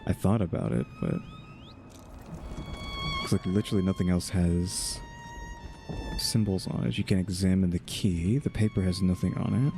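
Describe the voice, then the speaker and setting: A man's voice: sits low, normal speed, vocal fry, pauses often. A man streaming a game, talking casually as he plays and reading dialogue choices aloud over game music. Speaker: game streamer playing a story quest.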